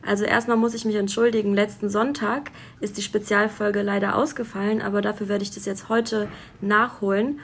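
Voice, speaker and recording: A woman's voice: mid-range voice, level tone, fairly quick, a little hiss or hum. Young female podcast host, chatty and friendly, recorded at a guest's home. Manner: friendly, many fillers, affirming hums. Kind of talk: casual interview chat. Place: guest's home in a city flat.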